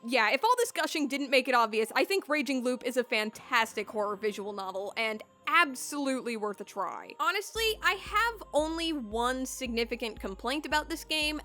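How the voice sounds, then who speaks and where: A high-voiced woman hosts a game review over background music. She talks casually and with enthusiasm, leaning on words for emphasis. Her delivery is chatty, with little asides to the listener. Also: bright, forceful tone. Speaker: video game reviewer, host.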